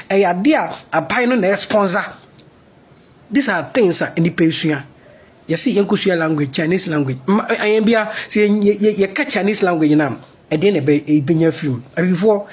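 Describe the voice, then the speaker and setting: A man's voice: sits high, slightly rough. A Ghanaian man with a Ghanaian accent giving an impassioned commentary monologue, mixing Twi and English.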